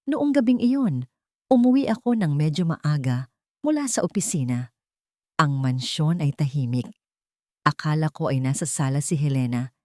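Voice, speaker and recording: A woman narrates a scripted drama in an audio story. Her voice sits low for a woman. The sound is dry, with hardly any room to it. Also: warm tone, no echo.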